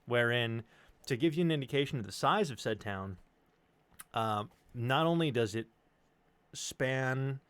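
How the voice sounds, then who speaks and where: A man's voice: mid-range voice, measured pace, long pauses, clear, resonant tone, no room sound. A man with a neutral American accent telling personal stories in casual chat, joking and rambling with some swearing. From United States, Chicago suburbs and Tennessee. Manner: animated, joking, profane, digressive.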